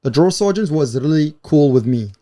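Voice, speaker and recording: A man's voice: fairly high pitch, lively intonation, normal speed, dark tone. A man from Iraq with an Iraqi Arabic accent, speaking casually as an interview guest, in non-native English with simple phrasing and frequent "you know". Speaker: guest, former soldier and interpreter.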